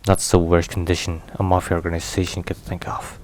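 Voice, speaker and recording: A man playing a stern, protective, weary mafia boss in an intimate ASMR roleplay, speaking in a grave, measured, halting way. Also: sits low, lightly acted. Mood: stern, blunt. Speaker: voice actor. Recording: steady rain and thunder noise. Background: rain and thunder.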